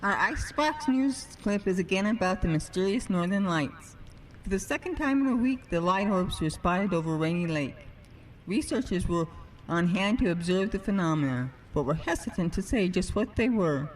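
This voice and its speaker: A woman's voice: mid-range voice, lively intonation, normal speed, a little hiss or hum, lightly acted, rough recording. A woman playing a matter-of-fact local radio newsreader, reading a scripted bulletin within a drama.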